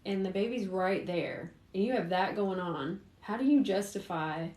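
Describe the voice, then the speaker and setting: A woman with a middle-range voice hosts a casual livestream. She talks informally and directly to her viewers, taking questions in a chatty way. The room adds a clear echo. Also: medium pitch, normal speed, a little mellow. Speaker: host, private investigator. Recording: roomy echo.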